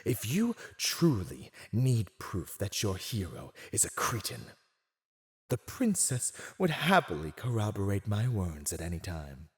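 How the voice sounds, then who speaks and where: A man's voice: on the low side, huge pitch swings, unhurried. A man playing a silver-tongued villain in an ASMR roleplay, condescending, theatrical and seductively persuasive as he teases a captive. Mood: scornful, disdainful. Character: silver-tongued, teasing male villain gloating over a captive. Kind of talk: scripted ASMR roleplay acting.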